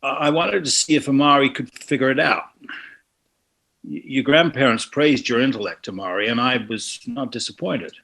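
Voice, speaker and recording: A man playing a superior, mysterious, well-dressed historian in a screenplay table read, with a husky voice and a measured, formal manner. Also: mid-range voice, pushed and bright. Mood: courteous.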